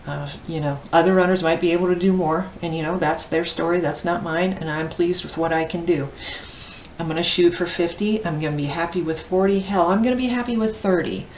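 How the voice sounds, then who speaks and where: A woman's voice: on the low side, fairly quick, darkish tone. A woman aged fifty talking casually as a vlogger about her own running. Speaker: vlogger and amateur ultra runner. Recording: echoey, distant mic, faint noise.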